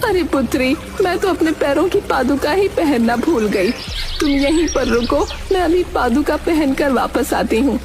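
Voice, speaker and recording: A woman's voice: high voice, lively intonation, a little slow, noisy background, voice pushed up. A woman voicing a cunning hunchbacked woman who poses as a sweet-talking aunt in a storybook tale. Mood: coaxing. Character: scheming hunchbacked woman posing as a loving aunt.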